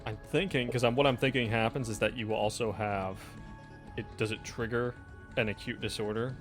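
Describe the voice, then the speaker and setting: A man's voice: mid-range voice, fairly even intonation, fairly quick. Man running a tabletop game, music behind. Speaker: game master. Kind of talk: casual narration of a tabletop horror game.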